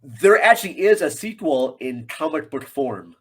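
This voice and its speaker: A man appearing as a guest film reviewer, chatting casually in a halting way as he thinks aloud. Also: fairly high pitch, big pitch swings, slightly rough.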